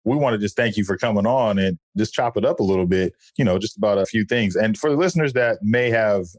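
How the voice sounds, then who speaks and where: A man's voice: lowish voice, fast, no room sound. A man hosting an interview podcast, chatting in an upbeat, friendly and loose way. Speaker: podcast host. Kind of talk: casual interview chat.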